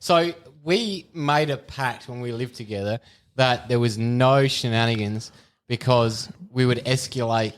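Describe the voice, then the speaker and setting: A man's voice: mid-range voice, measured pace, smooth. An Australian man co-hosting a podcast, an easygoing storyteller in casual chat. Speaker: podcast co-host.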